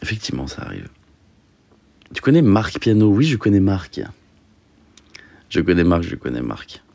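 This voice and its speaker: A young man with a very low, gravelly voice, chatting casually as he answers his viewers. His delivery is halting and full of fillers and repeated phrases, and his pitch swings widely. The sound is close and dry. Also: huge pitch swings, warm tone, no room sound. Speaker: streamer reading and answering chat. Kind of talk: casual livestream chat.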